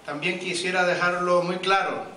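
A man with a Puerto Rican accent giving a guest speech at a political rally, animated, with many hesitations and fillers. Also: high-pitched, normal speed, slight background noise. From Puerto Rico and Miami. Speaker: guest speaker. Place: large indoor event hall. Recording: echoey hall, far from mic. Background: audience murmur and laughter.

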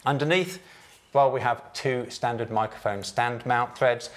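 A man in his forties giving an informal, conversational product demonstration, explaining clearly as a presenter. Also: mid-range voice, a little slow. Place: office meeting room, indoors. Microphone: lapel.

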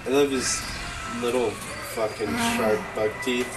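A man's voice: mid-range voice, steady tone, slow. A man making dry, sarcastic remarks in casual gaming chat. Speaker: gamer in a co-op let's play group. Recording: distant from mic, game music behind. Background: video game music.

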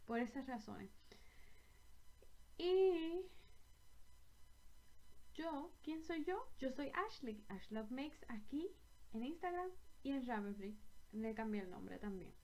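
A woman's voice: a little high, a little mellow. A young woman with a Puerto Rican accent chatting to camera from a small bedroom about her knitting, rambling and correcting herself as she goes. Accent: Puerto Rican Spanish. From Puerto Rico. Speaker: knitting vlogger. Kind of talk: casual chat to camera.

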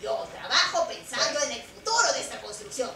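A woman's voice: high voice, lively intonation, a little fast, creaky. A woman voicing a cheerful, earnest young male bricklayer puppet in an upbeat, exaggerated live puppet show. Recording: echoey open shed, distant mic, noisy.

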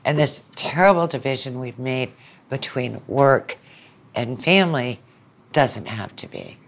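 Older woman with a very low voice. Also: expressive, measured pace. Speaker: podcast guest, university lecturer. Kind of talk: reflective conversational interview talk.

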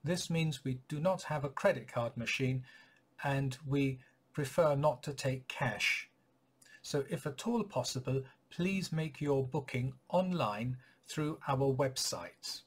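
A man in his forties, a clinic practitioner, giving patients an informational update in a measured, polite, slightly husky voice. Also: mid-range voice. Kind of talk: informational announcement to camera. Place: small home office or study, indoors.

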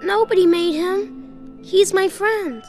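A woman voicing a small, sensitive boy in an animated game, sulky and plaintive with simple childlike speech. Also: very high voice, slow. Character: small upset sensitive boy, about five. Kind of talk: animated video game voice acting. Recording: music under the voice.

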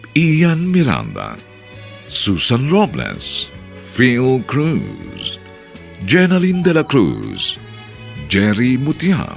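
Slow dramatic gravelly male narrator. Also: medium pitch. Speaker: radio drama narrator and announcer. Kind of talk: scripted radio narration.

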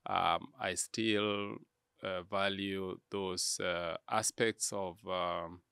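A man with a Kenyan accent answering interview questions in a measured, halting, deliberate way. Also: sits low, steady tone, vocal fry, bright, forceful tone, clean tone, no echo, clean studio sound. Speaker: interview guest, church media executive. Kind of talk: interview conversation, reflective answers.

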